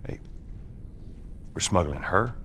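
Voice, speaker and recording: A man playing a gruff, weary middle-aged smuggler in a game cutscene, terse and sardonic. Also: low-pitched, expressive, slow pace, fairly bright tone, slight background noise, heavily acted. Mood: sceptical. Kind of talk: video game cutscene acting.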